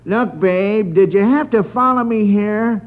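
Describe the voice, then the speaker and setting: A man's voice: high voice, unhurried, phone-quality sound, pitched above the performer's own voice. Man putting on a brash wisecracking gangster, old radio. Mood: urgent, brusque. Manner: brash, threatening street slang. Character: slangy wisecracking male gangster tough guy. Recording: band-limited old radio broadcast.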